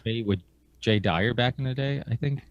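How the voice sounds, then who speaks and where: A man born in Portugal, hosting a podcast and telling stories in a relaxed, rambling way. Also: mid-range voice, normal speed, no echo, muffled recording. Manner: rambling, relaxed storytelling with fillers. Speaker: podcast host. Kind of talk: casual conversation.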